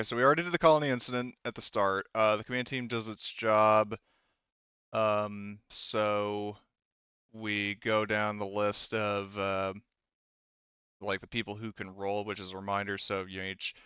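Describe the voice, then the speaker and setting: Clear-voiced man running a game, dull recording. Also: on the low side, sharp tone, no room sound. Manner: rambling, sweary, laughs easily. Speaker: game master. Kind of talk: casual tabletop roleplaying session.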